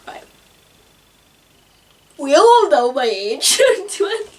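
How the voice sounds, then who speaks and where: Giggly high-voiced woman, twenties. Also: big pitch swings, slow, distant mic. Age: 20s. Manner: giggly, self-conscious, rambling. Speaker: vlogger. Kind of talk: unscripted vlog, casual talk to camera.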